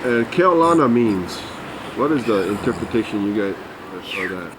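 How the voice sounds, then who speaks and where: A man asking interview questions in a plain, unhurried way. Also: lowish voice, big pitch swings, background noise. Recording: voice under loud guitar music.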